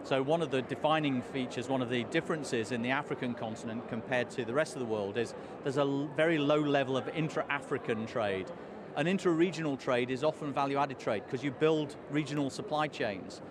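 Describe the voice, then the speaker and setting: A hoarse man, a trade commissioner, answering interview questions in a measured way with many fillers. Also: mid-range voice. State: hoarse, losing his voice. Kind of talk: live outdoor interview answers. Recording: street noise behind.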